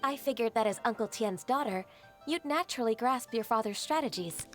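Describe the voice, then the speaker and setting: Actress voicing a composed young game secretary, polite, quietly firm, somewhat high voice, soft music behind. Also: female voice, animated, brisk, close to an everyday voice. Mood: calm firm. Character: calm, gentle young female secretary.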